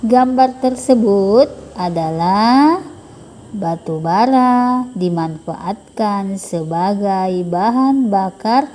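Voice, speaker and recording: A woman primary school teacher, explaining a lesson to children slowly and carefully. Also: mid-range voice, dark tone. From South Sulawesi, Indonesia. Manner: slow, careful explaining to pupils. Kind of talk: recorded online lesson for children.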